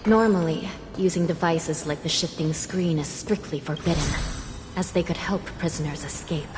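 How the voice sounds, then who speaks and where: Game role, woman acting: stern female judge-commander, low register, solemn formal delivery, reverberant, music underneath. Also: normal speed, lightly acted. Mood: composed, grave. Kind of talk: English video game voice acting. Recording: long reverb, loud music behind.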